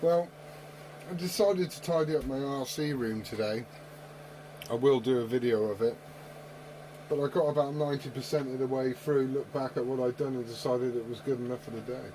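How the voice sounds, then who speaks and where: A man's voice: medium pitch, normal speed, warm tone. A man chatting casually over CB radio, joking and swearing freely. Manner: storytelling with tall tales. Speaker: CB radio operator. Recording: very noisy CB radio with clicks.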